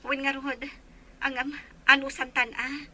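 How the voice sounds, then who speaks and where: Actress as patient, concerned woman in radio drama; high, very sharp bright tone. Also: little pitch movement, a little slow, full character voice. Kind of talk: Ilocano audio drama acting.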